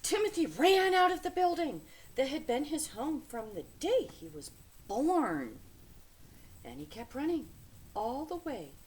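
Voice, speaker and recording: A woman reading a children's picture book aloud with dramatic, deliberate phrasing, speaking to young listeners. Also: a little high. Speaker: read-aloud storyteller. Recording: steady background noise.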